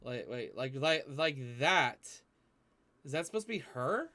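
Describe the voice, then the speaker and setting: Higher-pitched man doing casual reaction commentary. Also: big pitch swings, a little slow, sharp tone. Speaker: reaction video host.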